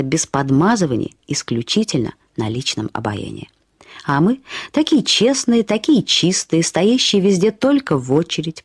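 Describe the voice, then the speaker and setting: An actress narrating a short story as a woman fondly and ironically recalling a friend, with expressive, slightly husky delivery. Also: on the low side, no room sound, clean studio sound. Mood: fond, wry. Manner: acts out quoted remarks with lively intonation. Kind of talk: expressive literary storytelling, first-person reminiscence.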